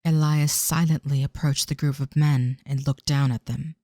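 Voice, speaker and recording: A woman's voice: a bass voice, steady tone, normal speed, warm tone, dry recording, clean studio sound. A woman narrating a scripted audio drama as a storyteller.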